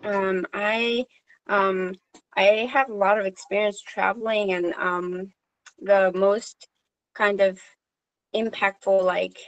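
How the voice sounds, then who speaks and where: Slow, hesitant woman. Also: mid-range voice, steady tone, highs cut off. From Korea. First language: Korean. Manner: hesitant, many fillers and restarts. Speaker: social worker. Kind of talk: supervision meeting conversation.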